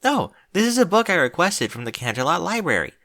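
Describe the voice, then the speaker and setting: A man's voice: high-pitched, sing-song, fairly quick, bright, forceful tone, voice pushed up, full character voice. A man voicing a bookish, anxious female unicorn who speaks earnestly and fretfully in a storybook reading. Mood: friendly, explaining.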